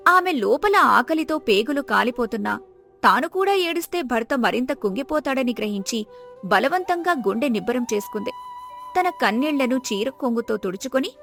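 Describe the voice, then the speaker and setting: Female narrator for a moral tale, high voice, scripted delivery over a music bed. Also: expressive, moderate pace, vocal fry. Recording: music behind the voice.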